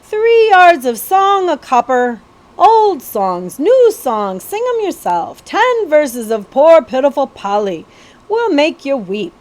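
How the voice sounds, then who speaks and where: A woman voicing a storybook ballad seller, a man crying his songs for sale in a singsong, drawn-out street call. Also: high-pitched, big pitch swings, darkish tone, pitched above the performer's own voice, heavily acted. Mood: hawking.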